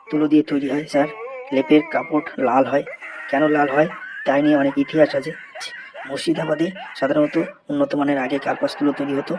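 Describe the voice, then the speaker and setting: Man playing a flat-toned, high-voiced cheeky schoolboy. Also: close to an everyday voice. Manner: earnest classroom recitation, deferential, rambling. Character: cheeky, long-winded schoolboy reciting an essay. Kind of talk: Bengali comedy cartoon voice acting, recitation.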